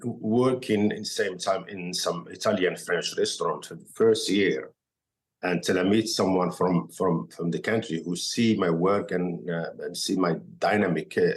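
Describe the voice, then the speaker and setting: A man from Morocco with a Moroccan accent and Arabic as his first language, telling his story as a podcast guest in halting, filler-filled English. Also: low voice. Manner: halting non-native English, many fillers and restarts. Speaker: guest chef. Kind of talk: casual podcast interview.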